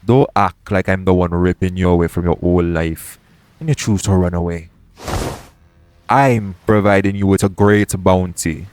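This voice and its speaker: A man's voice: low-pitched, fairly even intonation, normal speed, a little mellow, slight background noise, close to an everyday voice. A man playing a shady, persuasive pirate captain in an audio roleplay, bargaining straight to the listener in roguish, ungrammatical speech. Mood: firm bargaining. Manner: coaxing, bargaining, roguish dialect grammar. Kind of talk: audio roleplay acting, addressing the listener directly.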